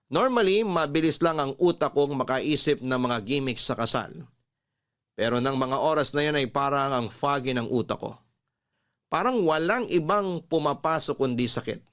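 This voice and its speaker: A man's voice: on the high side, expressive, moderate pace, fairly bright tone. A man reading a scripted first-person love story as a radio drama narrator. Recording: music bed under the voice.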